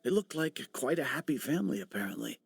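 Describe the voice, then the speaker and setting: A kindly, easygoing villager from a video game. His speech is casual and musing, with a creaky edge and lively swings in pitch, over faint music. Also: quick pace, no room sound, exaggerated voice. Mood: casual storytelling. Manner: casual, musing, hesitant storytelling. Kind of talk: video game dialogue acting. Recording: soft music behind the voice.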